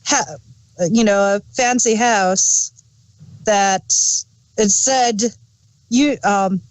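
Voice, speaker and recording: A woman with a mid-pitched voice speaks at a slow pace. She co-hosts a casual review chat, explaining things informally and a little haltingly. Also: no room sound, poor audio. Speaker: podcast co-host reviewing audio dramas.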